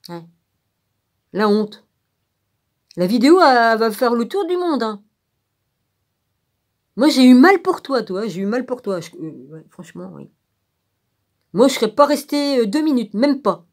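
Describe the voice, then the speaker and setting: A woman's voice: fairly high pitch, animated, unhurried, pauses often. A woman on a rambling informal rant, blunt and sarcastic, with crude colloquial phrasing and frequent rhetorical tags. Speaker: vlogger.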